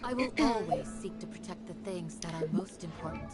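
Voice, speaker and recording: A grave, protective female spear warrior played by a woman makes a solemn vow in a low, steady voice. The line comes from a video game, with loud music playing behind it. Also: moderate pace, close to an everyday voice.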